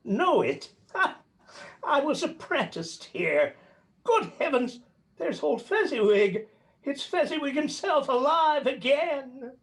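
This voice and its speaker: A man's voice: very high-pitched, big pitch swings, slow pace, creaky, a bit bright, heavily acted. A man playing an old miser emotionally revisiting his past, exclaiming as he goes, in a radio play. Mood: delighted.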